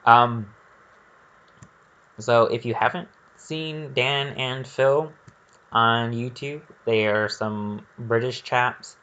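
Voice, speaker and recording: A man's voice: medium pitch, fairly even intonation, slow, bright, forceful tone, lo-fi sound. A man giving casual, rambling gameplay commentary, thinking aloud in a candid and hesitant way. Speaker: gaming video creator.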